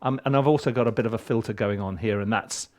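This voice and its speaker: A man's voice: on the low side, fast talker. Casual, playful man giving a tutorial. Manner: rambling, playful, animated delivery. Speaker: film composer and music tutor.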